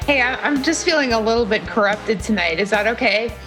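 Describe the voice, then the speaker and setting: A woman's voice: on the high side, brisk, soft background music. A young woman co-hosting a casual podcast, playful and teasing. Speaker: podcast co-host.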